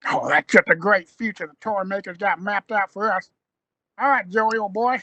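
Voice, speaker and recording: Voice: medium pitch, moderate pace, pushed and bright, no room sound. An actor playing a confident, sceptical young man in an audio drama, blunt and wry. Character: confident, sceptical young male adventurer Steven.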